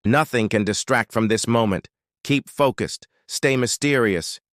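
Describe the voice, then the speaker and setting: A man voicing a brisk, glamorous female art agent who gushes and pushes. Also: fairly bright tone, dry recording, clean studio sound, natural voice. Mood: gushing. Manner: pushy, gushing, raises pitch.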